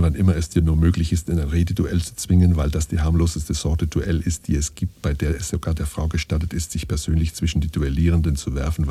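An actor playing a pompous father who solemnly instructs his son in archaic, admonishing phrases in a radio play. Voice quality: very deep, dark, husky. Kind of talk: scripted radio play monologue.

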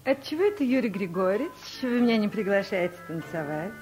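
An actress playing a teasing, coquettish, wistful old flame in a vintage radio play. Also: female voice, medium pitch, lively intonation, fast talker, over music, natural voice. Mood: reproachful, nostalgic. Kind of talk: Soviet radio play acting. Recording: dull vintage 1970s radio recording.

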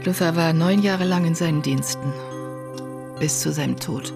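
A woman's voice: deep voice, normal speed, gravelly, over music. An actress playing a sombre, guarded sister recounting her brother's life in a radio drama. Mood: reflective. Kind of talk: German radio drama acting.

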